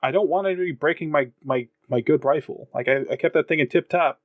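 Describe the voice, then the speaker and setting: A man's voice: fairly high pitch, quick pace, no room sound, narrow, tinny audio. A man chatting as a player in a tabletop role-playing game, hesitating and repeating his words. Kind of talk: casual tabletop role-play chat.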